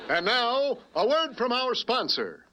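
An actor voicing an emphatic, excitable television football announcer in a cartoon parody of sports commentary. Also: male voice, very high voice, measured pace, exaggerated voice. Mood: announcing. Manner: emphatic, breathlessly excited build-ups. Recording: loud noise behind broadcast voice.

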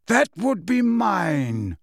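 A man's voice: high-pitched, big pitch swings, extremely slow, vocal fry, dry recording, studio quality, pitched above the performer's own voice, full character voice. A man voicing a very old wizard headmaster who speaks pleasantly, courteously and without hurry.

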